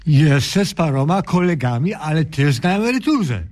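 High-pitched, flustered old watchman. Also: big pitch swings, normal speed, darkish tone. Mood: plaintive. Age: older. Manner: rustic, ungrammatical, lamenting exclamations. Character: flustered elderly male watchman, plaintive and muddled. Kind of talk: radio comedy sketch acting.

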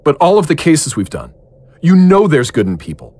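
A man's voice: a little high, wildly swooping pitch, normal speed, mellow, no echo. A man playing a weary, haunted but loyal man in an audio drama, speaking earnestly. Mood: pleading.

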